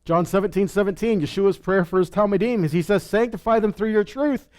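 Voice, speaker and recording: High-pitched man teaching scripture conversationally. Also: fairly quick, a little mellow. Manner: didactic, poses questions and answers them. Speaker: Bible teacher. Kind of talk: Bible teaching sermon, conversational.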